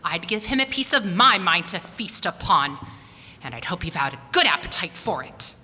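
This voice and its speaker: Woman playing a sharp, piercing indignant wife. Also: on the low side, sing-song, normal speed, full character voice. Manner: tart, sarcastic, forceful. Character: indignant, sharp-tongued, loyal working-class wife. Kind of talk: 1940s radio acting. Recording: reverberant hall, faint noise.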